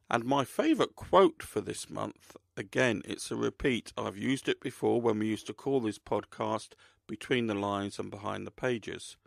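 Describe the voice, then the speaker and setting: A man's voice: on the low side, creaky, dry recording, clean studio sound. A man hosting a podcast, talking informally about books in a presenter's manner. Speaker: podcast host and crime writer. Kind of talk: chatty book talk and scripted narration.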